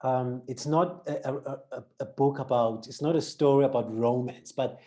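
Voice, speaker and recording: Man, south african accent, interviewer, dark mellow voice, reads then asks questions. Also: medium pitch, steady tone, moderate pace. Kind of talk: book interview.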